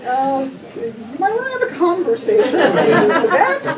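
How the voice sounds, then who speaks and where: A woman game host with a very high, animated voice exclaims and cheers between questions. She takes her time and repeats answers aloud. She is heard from a distance with a loud crowd around her. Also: moderate pace. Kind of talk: hosting a live couples quiz game.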